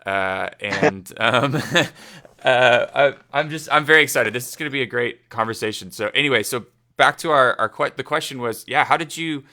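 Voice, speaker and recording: A man's voice: mid-range voice, moderate pace, pushed and bright. A man hosting a casual livestream interview, asking long, rambling, eager questions. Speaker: livestream host.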